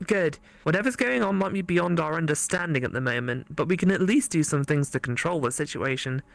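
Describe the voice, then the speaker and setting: A woman's voice: deep voice, expressive, a little fast, vocal fry. A woman voicing a stern, cautious know-it-all colleague in a visual novel, lecturing and insistent. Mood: approving, cautious.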